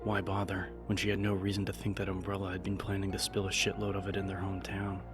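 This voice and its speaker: A man's voice: low voice, completely flat delivery, quick pace. A man reading scripted dramatic fiction as an audiobook narrator. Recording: music behind the narration. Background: music.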